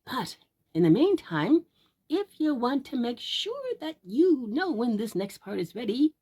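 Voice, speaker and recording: A woman telling a children's fairy tale aloud, expressive and deliberate, drawing out words for young listeners. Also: fairly high pitch, slightly rough. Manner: deliberate, drawn-out emphasis, addressing young listeners. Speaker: children's storyteller.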